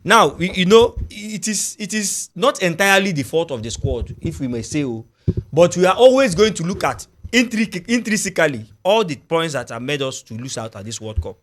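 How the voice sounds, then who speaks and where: Nigerian man, football talk host, slightly high voice, casual banter, frequent murmurs. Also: sing-song, moderate pace, a bit bright. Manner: frequent affirming murmurs, restarts. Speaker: panel host.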